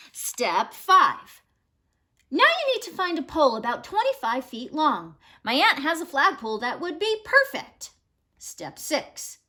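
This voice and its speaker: Woman, thirties, bright voice, very lively intonation, picture-book read-aloud, instructive and emphatic. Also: high-pitched. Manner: animated, instructive, emphatic storytelling delivery. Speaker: teacher reading aloud. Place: small living room at home, indoors.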